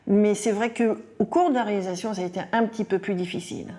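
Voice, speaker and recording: A woman's voice: mid-range voice, fairly even intonation, fast, mellow, soft background music. A woman being interviewed, recounting past events in a conversational style.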